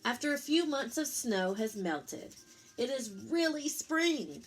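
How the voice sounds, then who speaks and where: A woman reading a picture book aloud to young children in an expressive, explanatory teacher's manner. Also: high voice, slight background noise, poor audio. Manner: expressive, pausing to explain and pose simple questions. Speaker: storytime reader, teacher.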